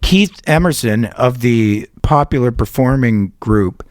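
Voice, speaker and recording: A man's voice: medium pitch, animated, unhurried, a little mellow, no room sound. A middle-aged man from Canada co-hosting a podcast, rambling through a story with swearing and laughter. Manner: rambling, profane, laughs through his stories. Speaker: podcast co-host. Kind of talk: casual chat and storytelling.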